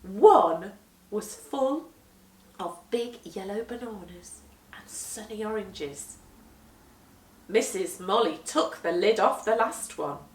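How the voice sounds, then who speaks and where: A woman reading a children's story aloud with expressive, dramatic emphasis, moving between hushed and swelling delivery. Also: mid-range voice, slow pace, a little hiss or hum. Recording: echoey room, distant microphone.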